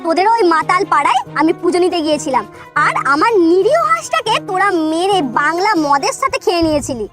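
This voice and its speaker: A woman's voice: very high-pitched, very animated, fast talker, loud music behind the voice. A woman voicing a stern goddess posing as an exam invigilator in a comedy cartoon, scolding and commanding. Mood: angry, vengeful.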